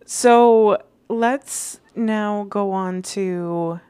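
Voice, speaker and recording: A woman's voice: medium pitch, extremely slow, darkish tone, clean tone, dry recording. A woman chatting casually as a podcast co-host, with a teasing way of talking. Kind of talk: casual chat.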